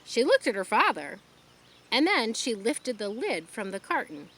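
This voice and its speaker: A woman's voice: fairly high pitch, unhurried, breathy edge. A woman reading a children's book aloud outdoors, adding her own explanatory asides. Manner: expressive, adds explanatory asides. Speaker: storyteller. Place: outdoors, rural.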